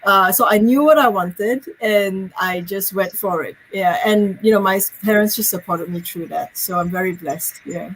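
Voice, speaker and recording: A woman's voice: mid-range voice, moderate pace, few pauses, breathy edge, poor audio. Chatty woman from Malaysia, video-call guest. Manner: warm, chatty, frequent ums and laughs. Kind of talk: casual interview.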